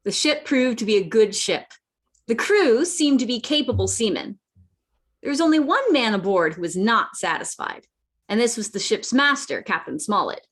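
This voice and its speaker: A woman's voice: on the high side, animated, moderate pace, slightly rough. A woman playing an earnest boy who narrates a sea adventure in a radio play reading. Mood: earnest, storytelling.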